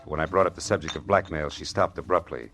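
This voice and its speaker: An actor with an American accent playing a wry, wisecracking private detective in 1940s radio drama style. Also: male voice, extremely low pitch, quick pace, over loud music. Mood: wry narration. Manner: wisecracking, sardonic. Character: wry hard-boiled American private detective narrating his case.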